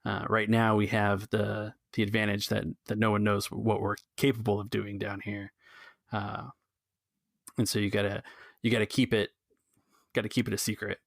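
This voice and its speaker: A man hosting a tabletop podcast as game master, earnest and sometimes halting as he speaks. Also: on the low side, monotone, vocal fry, no echo, studio quality. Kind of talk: tabletop game roleplay narration.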